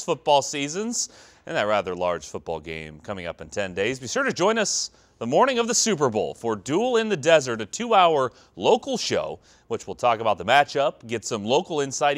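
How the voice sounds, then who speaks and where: A man's voice: medium pitch, fairly bright tone. An American man reading scripted sports news as a television anchor.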